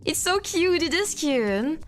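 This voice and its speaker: Lively high-voiced young Frenchwoman. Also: slow, faint music behind. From France. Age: 20s. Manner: playful, reads chat aloud, frequent laughter. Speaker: streamer. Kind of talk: casual live-stream chat.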